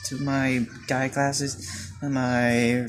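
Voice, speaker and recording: A teenage Filipino man telling a personal story in a hesitant, halting way, with many fillers and restarts. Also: mid-range voice, flat delivery, faint music behind. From Philippines. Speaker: student. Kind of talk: personal confession monologue.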